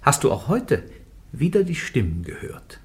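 A man's voice: mid-range voice, huge pitch swings, normal speed. An actor playing a stern male church interrogator who questions a prisoner insistently in a radio drama. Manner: insistent, rising to sharp accusations.

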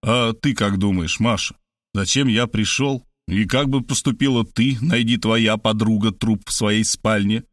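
A man's voice: fairly low pitch, measured pace, no echo, clean studio sound, lightly acted. A man voicing a blunt, hearty male friend from a novel, emphatic and familiar. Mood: pitying.

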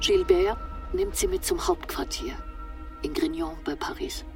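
An actress narrating scene changes in a wartime radio play in a scripted style. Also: female voice, fairly low pitch, huge pitch swings, unhurried, distant mic, over music.

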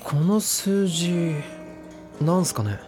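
Cocky teen boy, slangy, breezy and pushy, anime audio drama, clear music underneath. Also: a little high, very animated, takes it slowly, darkish tone. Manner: casual slangy speech, confident.

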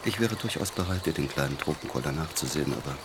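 An actor in a radio drama playing a haughty, self-justifying musician, wordy and affronted. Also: male voice, rumbling low, animated, fast talker, noisy background. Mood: indignant, defensive.

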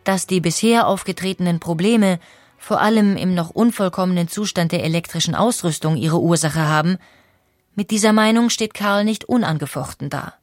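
A woman narrating a scripted history documentary with clear, even storytelling and rhetorical questions. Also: lowish voice, normal speed, no echo, soft background music.

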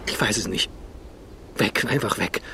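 An actor playing an anguished, cowed writer in an audio drama, speaking in a halting, emotionally strained way. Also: male voice, lowish voice, noisy background. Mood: resigned, pleading. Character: anguished, cowed male writer defying a bully.

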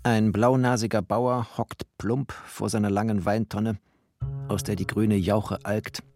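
A man's voice: lowish voice, level tone, measured pace, darkish tone, soft background music. A man narrating a literary audio drama in a wry, descriptive way.

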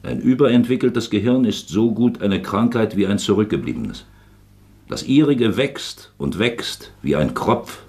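An actor in a German radio drama playing a shrewd, paternal investigating official who speaks deliberately and persuasively. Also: male voice, fairly low pitch, animated, a little mellow. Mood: fatherly, probing. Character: shrewd, self-admittedly plump male investigating official, paternally probing.

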